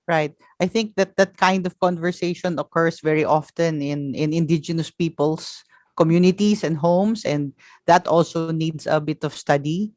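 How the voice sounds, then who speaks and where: A woman moderating an academic roundtable, speaking in a measured, formal and polite way. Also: low-pitched, darkish tone, no room sound, clean studio sound. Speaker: panel moderator.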